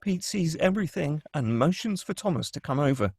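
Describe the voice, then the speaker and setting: A man narrating the stage directions at a script table read, steady and descriptive. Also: fairly high pitch, fairly quick, husky, no room sound, clean studio sound.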